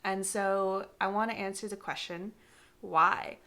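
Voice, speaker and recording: A woman's voice: mid-range voice, steady tone, a little slow, pushed and bright. Slightly congested young woman, chatty vlog. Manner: chatty, frequent "um" and "like", conversational. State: congested from a cold. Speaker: gardening vlogger. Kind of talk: casual explanatory vlog. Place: indoors, with some segments recorded outdoors in a garden.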